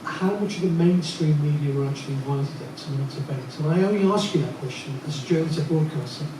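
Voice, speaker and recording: A man's voice: fairly high pitch, fast. A man, a journalist and broadcaster, putting questions to a panel from the audience in an assertive, list-like way. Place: large echoey hall. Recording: echoey hall, far from mic, noise.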